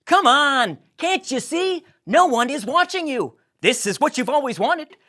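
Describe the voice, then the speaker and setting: A woman's voice: high-pitched, animated, unhurried, fairly bright tone, pitched above the performer's own voice. A woman voicing a sarcastic, incredulous man. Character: exasperated sarcastic man, comic lead.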